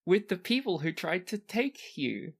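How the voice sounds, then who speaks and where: A game master voicing a drugged, childish, injured prince with a whiny, drawn-out delivery in a tabletop game. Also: medium pitch, very animated, slightly rough, pitched above the performer's own voice, exaggerated voice. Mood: dazed bravado.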